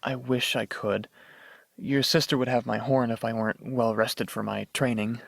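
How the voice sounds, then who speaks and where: Gentle unicorn student, male actor for radio drama: deferential and earnest, plenty of vocal fry, close dry sound. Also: mid-range voice, flat delivery, normal speed, no room sound. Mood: apologetic, gentle. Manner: hesitant, earnest, reverent. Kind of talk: fan-made radio drama acting.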